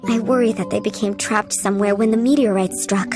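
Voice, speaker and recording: A woman voicing a courteous, composed head housemaid in a video game, with polite and measured formal speech. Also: medium pitch, steady tone, music in the background, natural voice. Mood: concerned. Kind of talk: video game character acting.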